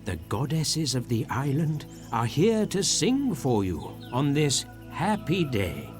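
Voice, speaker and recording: A man's voice: mid-range voice, wildly swooping pitch, slow pace, over music. A man voicing a kindly father in a storybook. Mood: tender, happy. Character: kindly, contrite father giving his blessing and orders. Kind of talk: storybook character voice.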